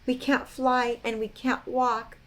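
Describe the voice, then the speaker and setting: Patient bird leader for a children's storybook, calm and caring, read by a woman. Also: a little high, slow pace, voice pushed up.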